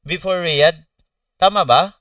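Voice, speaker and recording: A Filipino man chatting casually on a livestream, telling stories in animated, slangy, swear-laden talk to his viewers. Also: high-pitched, takes it slowly, dry recording, recorded from a distance, lo-fi sound. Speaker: gaming streamer. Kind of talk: casual storytelling livestream chat.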